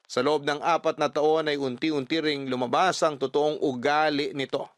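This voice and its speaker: A man's voice: on the high side, a bit bright, dry recording. A man reading a scripted first-person story as a radio-style storyteller. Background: background music.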